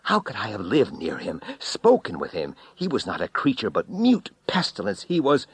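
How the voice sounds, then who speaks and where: A man acting alien being, horror story, revolted, declamatory, highly animated, dry recording. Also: normal speed, husky, no room sound, heavily acted. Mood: revulsion, horror. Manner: horrified, declamatory. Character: alien eyes, revolted and horrified by humans.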